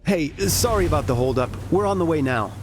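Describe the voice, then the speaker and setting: An actor playing a laid-back driver who speaks casually and offhand. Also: male voice, a little high, lively intonation, fairly quick, over music, natural voice. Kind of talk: video game cutscene dialogue.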